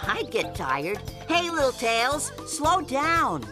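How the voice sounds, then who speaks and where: A woman's voice: high voice, big pitch swings, heavily acted. A woman voicing a playful, snack-loving young animal who muses aloud, with background music. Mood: musing. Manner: slow, musing, playful. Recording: cartoon voice with music behind.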